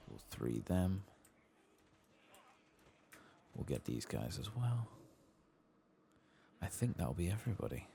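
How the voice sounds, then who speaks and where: A man doing soft-spoken, partly whispered ASMR game commentary, murmuring to himself in an understated way. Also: sits low, sing-song, normal speed, creaky, a little hiss or hum.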